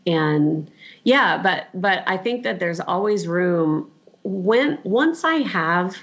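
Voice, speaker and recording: Middle-aged woman guest, lowish voice, talks in thoughtful, halting restarts. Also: expressive, a bit bright, dull audio. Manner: thoughtful, hesitant with many fillers and restarts. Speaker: guest, language program director. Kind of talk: casual conversation.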